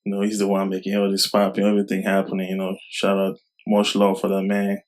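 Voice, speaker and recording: A young man from Cameroon, raised in Germany, speaks as a rapper in a casual interview. His voice sits low and stays flat, with little rise or fall. He talks softly and haltingly, leaning on "you know". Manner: soft-spoken, halting, many fillers like "you know".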